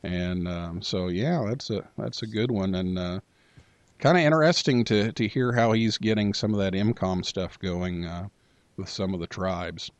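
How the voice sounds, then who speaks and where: A man hosting a radio talk show, chatting casually with a guest and pausing often on filler sounds. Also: low-pitched, dry recording. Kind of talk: casual interview chat.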